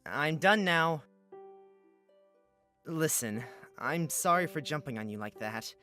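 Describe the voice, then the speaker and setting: Female reader as awkward young male game hero, unhurried, clear-edged bright tone, apologetic and sincere. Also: sits low, expressive, soft background music, pitched below the performer's own voice, exaggerated voice. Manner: hesitant, stammering, apologetic. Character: earnest, awkward young man, Rain, after a chase.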